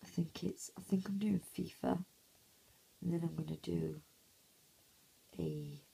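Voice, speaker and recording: A man with a hoarse, croaky voice, half-whispering casual commentary over a mobile game at home early in the morning, recorded on a phone. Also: on the high side, steady tone, unhurried, dark tone, low-quality recording. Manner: half-whispering to avoid waking sleepers. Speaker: gameplay commentator.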